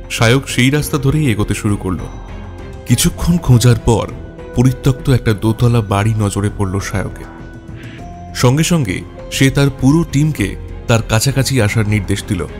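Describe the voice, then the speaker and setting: Man, scripted detective narration, medium pitch, deliberate pauses, faint background music. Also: a little mellow.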